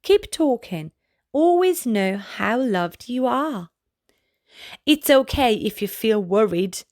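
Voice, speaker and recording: A woman reading a children's picture book aloud as a storyteller, in a gentle, deliberate manner addressed to young listeners. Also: a little high, big pitch swings, dry recording, clean studio sound. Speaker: children's storybook reader, channel host. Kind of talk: read-aloud bedtime story narration for children.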